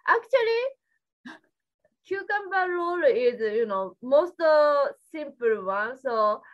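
A woman from Japan with a Japanese accent, speaking halting, simple English as an interview guest in casual talk, giggly in manner, on dull lo-fi audio. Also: high voice, animated.

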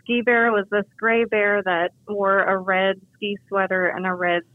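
A woman's voice: mid-range voice, level tone, a little slow. A woman phone guest telling personal stories in a casual, playful way. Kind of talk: casual storytelling. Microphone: telephone. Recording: dull phone call, band-limited.

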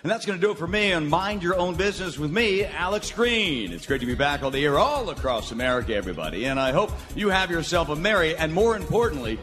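Theatrical radio host hero, forceful and swoopy voice, big hall reverb. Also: male voice, a little high, big pitch swings, quick pace, hardly any pauses, soft background music. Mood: slick, upbeat. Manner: theatrical, declaiming. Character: slick national radio money-show host turned earnest romantic hero. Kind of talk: comedic live radio play.

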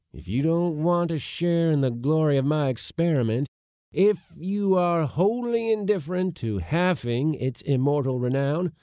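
A man's voice: medium pitch, creaky, pushed and bright, no echo, studio quality, pitched above the performer's own voice, full character voice. A man voicing an impatient, bossy elderly uncle in a storybook reading, with a blustering, pompous, exclamatory manner.

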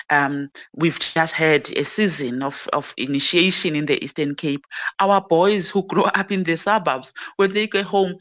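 A senior lecturer speaking as a radio guest, measured and earnest, with a few restarts. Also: mid-range voice, fairly bright tone. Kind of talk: radio interview answer. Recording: somewhat dull audio.

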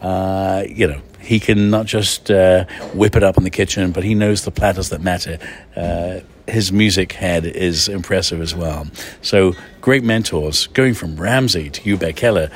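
A man's voice: low-pitched, normal speed, slight background noise. A man hosting a radio food interview, effusive, chatty and theatrical. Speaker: radio food show host.

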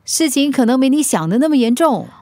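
A woman's voice: sits high, sing-song, brisk, mellow, no room sound. A woman playing a sensible, concerned confidante in a radio drama, counselling earnestly and firmly. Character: sensible, concerned woman giving firm advice.

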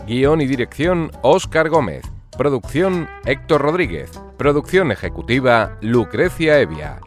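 A man's voice: mid-range voice, sing-song, unhurried. A man reading scripted closing credits as an announcer for an audio drama. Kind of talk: scripted narration. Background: guitar music.

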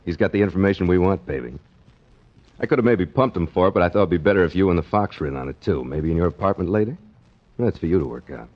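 Deep, slangy 1940s crook. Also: male voice, animated, fast talker. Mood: smooth, scheming. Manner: slangy tough-guy talk. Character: scheming, cocky waterfront crook sweet-talking his moll. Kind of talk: 1940s radio crime acting. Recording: muffled 1940s radio recording.

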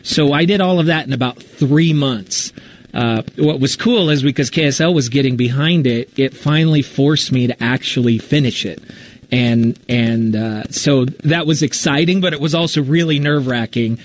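Male writer in a relaxed Q&A, medium pitch, talks candidly and works out his answers aloud. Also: normal speed, low-quality recording. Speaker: writer and storyteller of a fiction podcast, being interviewed. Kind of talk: casual live interview answers.

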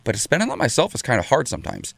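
A man co-hosting a morning show, chatty and excitable, a bit nasal from a cold. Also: medium pitch, rapid-fire, creaky, no room sound, studio quality. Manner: chatty, excitable, restarts words. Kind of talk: casual chat.

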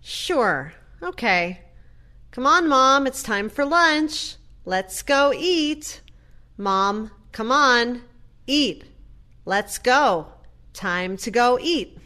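A woman's voice: on the high side, expressive, drawn-out words, sharp tone, heavily acted. A woman playing a caring, hesitant adult daughter in an audio play, tentative and earnest. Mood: coaxing.

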